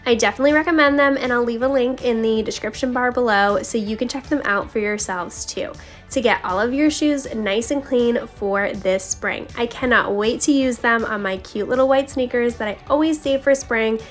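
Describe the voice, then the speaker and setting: A woman's voice: a little high, normal speed. A woman giving a casual, confiding voice-over narration as a home vlogger, talking straight to viewers with a slightly scratchy voice. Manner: chatty, confiding, addresses viewers directly. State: slightly scratchy voice, needs to clear throat. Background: background music.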